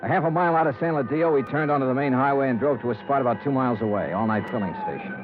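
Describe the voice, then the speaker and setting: An actor playing a cool, defiant insurance investigator in a 1950s radio detective drama, terse, dry and wry. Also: male voice, mid-range voice, wildly swooping pitch, racing, music in the background. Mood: deadpan narration. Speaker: detective lead and narrator. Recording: 1950s radio broadcast, thin phone-like band.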